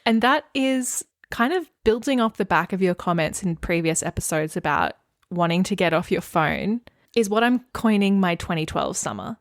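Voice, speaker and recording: A woman's voice: on the low side, very animated, normal speed, no echo, studio quality. A young Australian woman co-hosting a casual comic podcast, making emphatic, mock-dramatic declarations. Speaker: podcast co-host.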